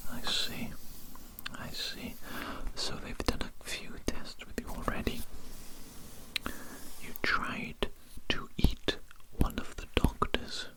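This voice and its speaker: A breathy, fully whispered voice in an ASMR roleplay, playing the head alien doctor of a facility. The delivery is self-important yet politely fussy. Phrases often come back a second time, murmured in the same unvoiced whisper. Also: rough recording.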